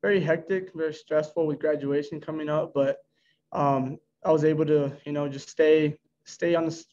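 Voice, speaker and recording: An American man in his early twenties, a college pitcher answering reporters after a game on a video call. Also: fairly even intonation, normal speed, a little mellow, a little hiss or hum. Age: early 20s. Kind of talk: post-game press conference answers. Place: indoor press room before a backdrop. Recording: dull, lo-fi video call audio.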